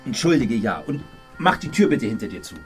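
A man's voice: medium pitch, big pitch swings, fairly quick, soft background music, natural voice. A man playing a busy, distracted middle-aged father in a children's radio play, sounding preoccupied and apologetic.

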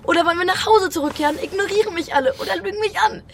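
A woman's voice: extremely high pitch, very fast, fairly bright tone, a little hiss or hum. A female voice playing a stubborn, homesick eight-year-old boy in a German radio drama, plaintive and pleading. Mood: angry hurt.

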